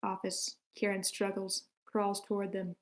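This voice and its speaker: A woman's voice: medium pitch, monotone, a little slow. A woman narrating the stage directions of a screenplay table read in short, matter-of-fact sentences.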